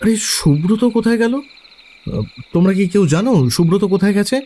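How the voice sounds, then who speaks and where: A man's voice: high-pitched, animated, moderate pace, mellow, a little hiss or hum. A man playing an anxious, earnest teacher responsible for schoolboys in an audio story. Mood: concerned searching. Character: worried, responsible male teacher guarding schoolboys on a trip.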